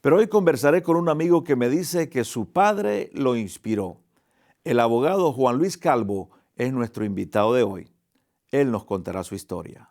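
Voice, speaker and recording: A man's voice: mid-range voice, expressive, normal speed, a little mellow. Earnest man, counselor addressing listeners. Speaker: host and family counselor. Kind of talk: reflective monologue and interview.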